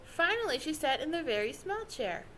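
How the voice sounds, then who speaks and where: Woman, high pitch, very animated children's story narration, dramatic phrasing, noisy recording. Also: normal speed. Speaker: storybook reader.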